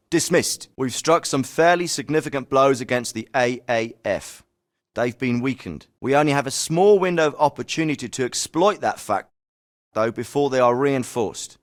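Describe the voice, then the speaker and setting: An actor voicing a calm, authoritative male special forces commander, giving military briefings in a measured, clipped way. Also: mid-range voice, close to an everyday voice. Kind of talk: video game voice acting. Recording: clean studio voice recording.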